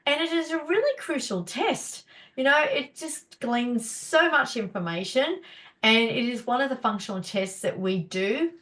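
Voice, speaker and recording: A woman's voice: on the high side, animated, a little slow, fairly bright tone. Stuffy-nosed chatty woman. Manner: chatty, encouraging, many fillers. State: stuffy, blocked-up nose. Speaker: naturopath hosting a live session. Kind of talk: casual live-stream teaching.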